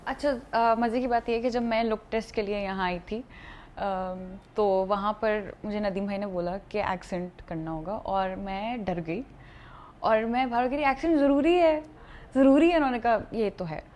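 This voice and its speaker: A woman's voice: medium pitch, normal speed, darkish tone, a little hiss or hum. A woman with a Pakistani accent, an actress being interviewed, chatting casually in a group.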